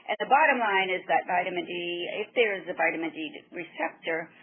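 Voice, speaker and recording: A woman research scientist being interviewed by telephone, explaining technical matters in a measured, careful way. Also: lowish voice, lively intonation, a little hiss or hum. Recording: muffled narrowband phone line.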